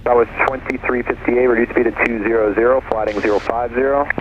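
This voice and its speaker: A man's voice: mid-range voice, fairly even intonation, fast talker. A man giving clipped, procedural air traffic control instructions over aviation radio. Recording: tinny, noisy aircraft radio transmission.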